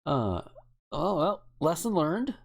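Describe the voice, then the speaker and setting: Bright-voiced woman hosting with lively swings. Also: deep voice, slow, gravelly. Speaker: podcast host. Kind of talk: casual chat.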